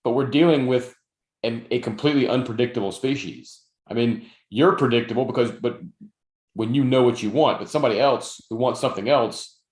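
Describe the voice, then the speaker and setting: A man's voice: mid-range voice, brisk, a little mellow. A man co-hosting a podcast, explaining points firmly with dry humor in casual chat. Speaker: podcast co-host. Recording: roomy echo.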